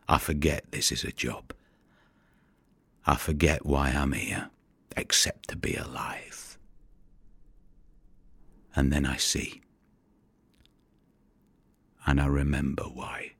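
An actor playing a haunted, weary fisherman in an audio drama monologue, with a gravelly, breathy voice and a hushed, brooding delivery. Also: very deep voice, little pitch movement, no room sound, heavily acted. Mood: sombre, haunted. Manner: hushed, deliberate, brooding storytelling. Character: haunted, weary Mediterranean fisherman who recovers drowned bodies.

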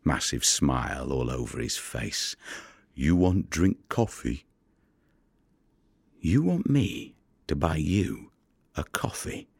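Very deep, husky, weary fisherman. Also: big pitch swings, slow, pauses often, no room sound, clean studio sound, full character voice. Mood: amused, guarded. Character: world-weary, wary Italian fisherman, wry and guarded storyteller. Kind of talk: dramatic monologue in an audio play.

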